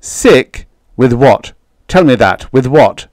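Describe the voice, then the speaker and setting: A man playing a domineering father in a story, harsh, forceful and impatient. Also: medium pitch, animated, takes it slowly, dry recording, studio quality, voice pushed up. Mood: bitter anger. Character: stern, angry, domineering father and robot maker.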